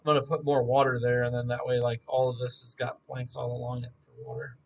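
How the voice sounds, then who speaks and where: A young man chatting casually and joking while he builds a map on stream, thinking out loud as he works. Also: mid-range voice, flat delivery, quick pace, gravelly. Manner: joking, laid-back, thinking aloud. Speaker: mapmaker streaming his work. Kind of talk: casual chat while working.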